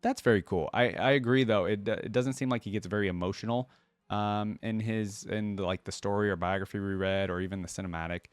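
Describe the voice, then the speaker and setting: Male reaction host, lowish register, informal read-and-comment delivery, dry recording. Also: fairly even intonation, a little fast, clear, resonant tone, no room sound. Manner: informal, addresses viewers as guys and dude. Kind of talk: casual reaction commentary, reading wiki text aloud and commenting.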